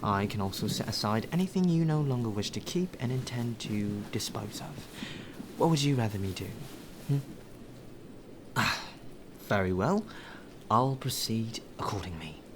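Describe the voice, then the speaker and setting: A man acting as a polite, deferential male butler in a soft, formal ASMR audio roleplay. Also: fairly low pitch, animated, noisy background, close to an everyday voice. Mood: obliging. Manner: formal, deferential, measured, sighing.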